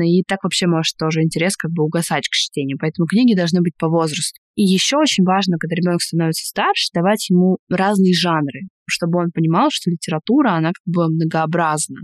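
A young woman chats casually as a podcast co-host. She talks at a brisk, fast pace. The recording is close and dry, free of room sound. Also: on the low side, no echo. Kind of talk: casual conversational talk.

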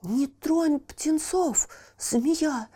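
A woman's voice: high voice, very slow, dark tone, voice pushed up. A woman voicing a kind, brave and eager young boy in an audio fairy tale, earnest and exclaiming. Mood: pleading.

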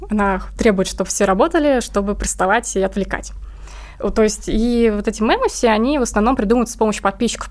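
Female interviewee, medium pitch, informal conversational storyteller. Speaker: interviewed comic artist and cat owner.

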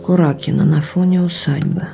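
A woman's voice: fairly low pitch, steady tone, normal speed, vocal fry, warm tone, faint music behind. An actress playing an articulate, self-assured young woman with an informal manner in an audio drama. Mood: curious, informal. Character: articulate, lively young woman, opinionated reader and friend.

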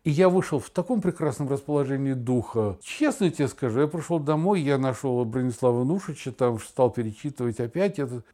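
A middle-aged man talking casually and reflectively, telling anecdotes emphatically, with halting, repeated word starts. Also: mid-range voice. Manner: animated, emphatic, halting with stammered repetitions. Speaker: podcast host.

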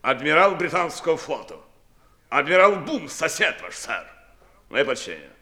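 An actor playing a blustering old British admiral with booming sailor exclamations in a 1960s radio play. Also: male voice, very animated, moderate pace, sharp tone, full character voice. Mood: blustering, proud.

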